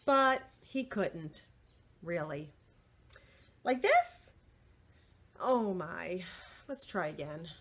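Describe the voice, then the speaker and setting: A middle-aged woman reading a picture book aloud to young children, expressive and deliberate, with short asides to the listeners. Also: fairly low pitch, slightly rough. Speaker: children's library storyteller. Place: indoors, small room with bookshelves.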